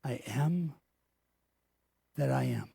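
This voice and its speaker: A pastor voicing God as a calm, commanding male deity, solemn and measured. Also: mid-range voice, gravelly, slightly rough, heavily acted.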